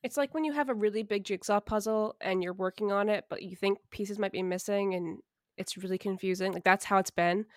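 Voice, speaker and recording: A woman's voice: mid-range voice, fairly even intonation, brisk, no room sound, clean studio sound. A woman co-hosting a podcast in casual chat, sick and a little nasal and scratchy, wry and self-mocking with frequent laughs. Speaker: podcast co-host.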